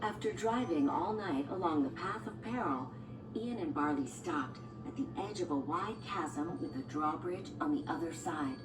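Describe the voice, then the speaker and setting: A woman's voice: low voice, animated, moderate pace, background noise. A woman reading a scripted children's storybook as narrator. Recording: echoey, distant, music behind.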